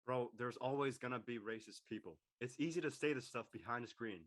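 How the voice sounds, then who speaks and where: A man's voice: medium pitch, brisk, a bit bright, natural voice. A man playing an upbeat, laid-back teenage boy, a casual, encouraging best friend in a comedy drama. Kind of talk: comedic scripted audio drama dialogue.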